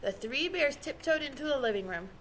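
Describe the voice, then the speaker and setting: A woman reading a children's story aloud with expressive, dramatic narration. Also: high voice, a little fast, a little hiss or hum. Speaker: storybook reader.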